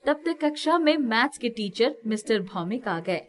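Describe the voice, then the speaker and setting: Female storyteller: raised pitch, lively swings, expressive reading of children's folk tales. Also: normal speed. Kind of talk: scripted children's story narration.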